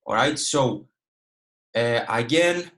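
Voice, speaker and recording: A man's voice: medium pitch, lively intonation, slow, fairly bright tone. A man in his twenties with a Greek Cypriot accent, a native Greek speaker, teaching a live online trading lesson in a casual, direct way and checking in with "okay" and "all right". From Cyprus. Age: 20s. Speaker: guest trading educator.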